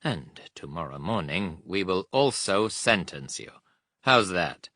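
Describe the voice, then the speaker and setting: A man's voice: sits low, sing-song, unhurried, pushed and bright, dry recording, studio quality, heavily acted. A man voicing a dapper, obliging major who speaks fluently and heartily. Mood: hearty, placating.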